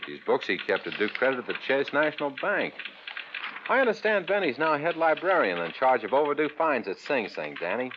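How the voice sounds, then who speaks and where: An American actor playing a chatty, joking police records clerk in an old radio crime drama. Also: male voice, medium pitch, big pitch swings, a little fast, lightly acted. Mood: wry amusement. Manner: folksy, joking. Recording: muffled noisy vintage radio, typewriter clatter.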